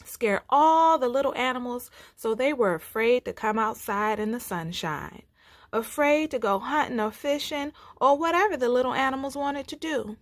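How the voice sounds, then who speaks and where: A woman reading a storybook aloud to children with expressive, folksy, dramatic phrasing. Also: high-pitched, normal speed, no room sound.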